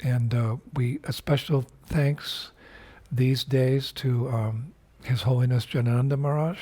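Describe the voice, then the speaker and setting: An older man, a spiritual teacher, recording a video message in an unhurried, reflective way, pausing often with fillers and restarts. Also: medium pitch, steady tone, darkish tone, husky.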